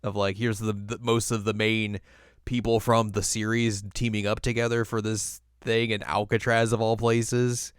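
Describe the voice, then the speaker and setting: A man's voice: lowish voice, little pitch movement, moderate pace, clear, resonant tone, no echo, studio quality. A man co-hosting a podcast, chatting casually as he reviews films. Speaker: podcast co-host.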